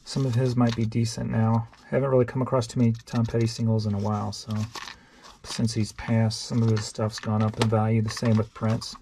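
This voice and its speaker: A man's voice: mid-range voice, completely flat delivery, fairly quick, gravelly, mellow, slight background noise. A man, chatting casually and thinking aloud as he sorts through items for resale. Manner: rambling and offhand, thinks aloud while sorting tapes. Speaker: online reseller. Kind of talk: casual show-and-tell vlog.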